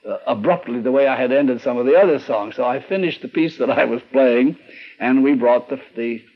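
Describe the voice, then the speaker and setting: An older American man recalling his working days as a radio conductor, telling it in a halting, deliberate way. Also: mid-range voice. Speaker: radio orchestra conductor recalling early broadcasts. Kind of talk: reminiscing, interview-like recollection. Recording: slightly dull archival radio recording.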